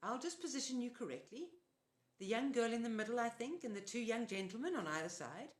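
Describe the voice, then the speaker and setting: A woman's voice: on the high side, animated, a little fast, pitched above the performer's own voice, natural voice. A woman voicing a monstrous old woman disguised as a kindly auntie, speaking in a coaxing, sugary purr. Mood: sweet. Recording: roomy echo.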